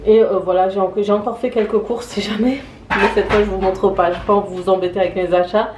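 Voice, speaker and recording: A woman's voice: medium pitch, steady tone, fast talker, noisy background. Tired woman chatting in an echoey kitchen. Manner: chatty, hesitant, many fillers. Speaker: vlogger. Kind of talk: casual vlog chat. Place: home kitchen.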